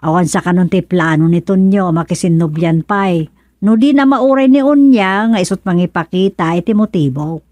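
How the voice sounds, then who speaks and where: An actress with an Ilocano accent playing a chatty, concerned village wife in a radio comedy-drama. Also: female voice, fairly low pitch, normal speed, mellow, no echo, close to an everyday voice.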